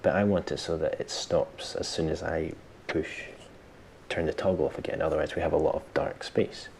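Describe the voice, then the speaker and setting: Very deep-voiced man giving a tutorial. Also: fairly even intonation, moderate pace, vocal fry, darkish tone, slight background noise. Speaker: tutorial host. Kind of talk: software tutorial, explaining step by step.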